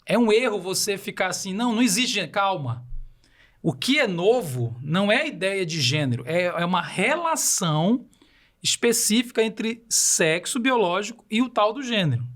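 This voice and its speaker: A man's voice: high-pitched, lively intonation, normal speed, a bit bright. A man from Belém do Pará with a Paraense accent, explaining ideas on a podcast and asking rhetorical questions as he goes. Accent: Paraense Brazilian Portuguese. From Belém do Pará, Brazil. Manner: didactic, uses rhetorical questions. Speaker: podcast guest.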